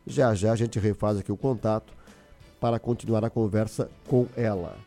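A man's voice: medium pitch, mellow. A man hosting a live news interview on the radio.

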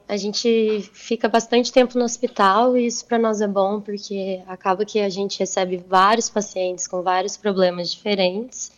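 A woman's voice: mid-range voice, muffled recording. A young woman from central Brazil gives informal interview answers as a guest over a remote connection. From Goiás, central Brazil. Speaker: medical resident, interview guest. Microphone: remote call.

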